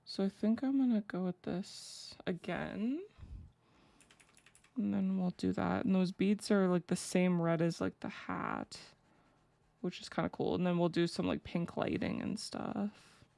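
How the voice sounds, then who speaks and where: Young woman, low gravelly voice, rambling casual stream chat, lots of fillers. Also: measured pace, warm tone. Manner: rambling, filler-heavy, laughs easily. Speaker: streamer drawing fanart. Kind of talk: casual live-stream chat while drawing.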